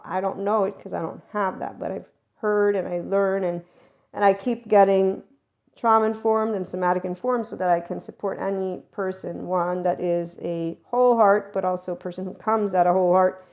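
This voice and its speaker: A middle-aged woman hosting a spiritual self-help podcast, talking in a rambling, emphatic monologue that often swings in loudness. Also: mid-range voice, gravelly. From America and Italy. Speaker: podcast host.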